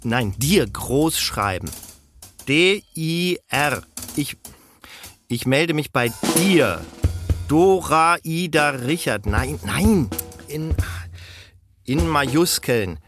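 A man's voice: fairly high pitch, animated, extremely slow, long pauses, over music. An actor playing a harried, pedantic middle manager and father on phone calls in a radio drama, officious and a bit hesitant. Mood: exasperated, precise. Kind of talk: naturalistic German radio drama dialogue. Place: phone calls made while driving a car.

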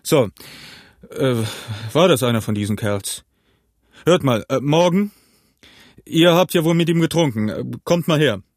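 A man voicing a big, shrewd, jovial tavern keeper in an audiobook, hearty, loud and commanding. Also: on the high side, expressive, a little slow, long pauses, no echo, clean studio sound, voice pushed up. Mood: probing, stern. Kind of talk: acted audiobook character.

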